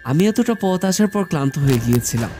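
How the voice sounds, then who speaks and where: A man narrating a scripted first-person horror story in a measured storytelling manner. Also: a little high, sing-song. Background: background music.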